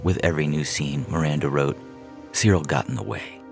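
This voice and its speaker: A man's voice: rumbling low, a little fast, creaky. A man reading scripted fiction aloud in a dramatic narration style, as a podcast story reader. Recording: music bed behind the voice. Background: music.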